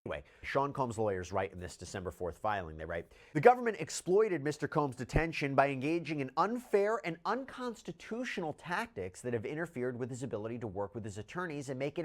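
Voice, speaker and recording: A man's voice: medium pitch, very animated, brisk, few pauses. A man presenting scripted legal news in an articulate, explanatory way, with emphatic delivery. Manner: articulate, emphatic when quoting documents.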